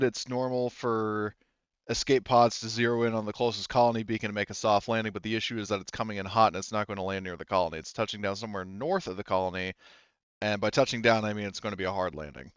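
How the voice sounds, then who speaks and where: A man's voice: fairly low pitch, fast talker, clear, resonant tone, no echo, highs cut off. A man running a tabletop game as game master, narrating casually and often restarting his sentences.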